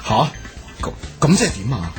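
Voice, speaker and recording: A man's voice: mid-range voice, big pitch swings, moderate pace, soft background music, lightly acted. An actor playing a flustered, stammering male executive villain in a radio drama. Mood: flustered, stunned.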